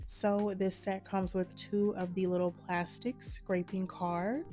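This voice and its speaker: Woman host, a little hoarse, warm mellow tone, calm how-to delivery, soft music underneath. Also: mid-range voice, takes it slowly. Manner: relaxed, matter-of-fact instructions. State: slightly hoarse from a cold. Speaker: nail art channel host. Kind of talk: casual step-by-step tutorial narration.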